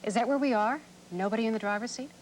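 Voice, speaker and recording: A woman's voice: a little high, fast talker. A woman hosting a television programme, interviewing and presenting to viewers, on an older TV recording. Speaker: TV host and interviewer. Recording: faint noise, older TV recording.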